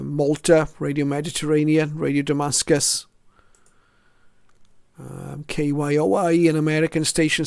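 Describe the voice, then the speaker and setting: A man's voice: fairly high pitch, vocal fry, darkish tone, clean tone, no echo, studio quality. A middle-aged or older man giving a casual show-and-tell narration as a hobbyist, hesitant and reflective as he goes through items one by one. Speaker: shortwave radio hobbyist presenting his collection.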